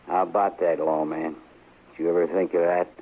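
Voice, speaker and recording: An actor playing a mocking, needling frontier troublemaker in an old radio western. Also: male voice, low voice, level tone, fairly quick, a little hiss or hum, close to an everyday voice. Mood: taunting. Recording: muffled old radio recording.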